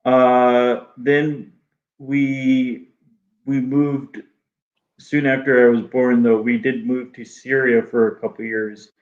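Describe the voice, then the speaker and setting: A man whose first language is Arabic, speaking haltingly with many restarts as a guest in a casual interview. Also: mid-range voice, little pitch movement, lo-fi sound. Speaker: guest artist.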